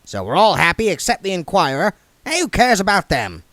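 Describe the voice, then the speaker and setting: A man's voice: high voice, huge pitch swings, fairly bright tone, no room sound, pitched above the performer's own voice, heavily acted. A man voicing a stubborn, practical male dwarf who speaks emphatically and in a hurry. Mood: emphatic. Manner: emphatic, hurried.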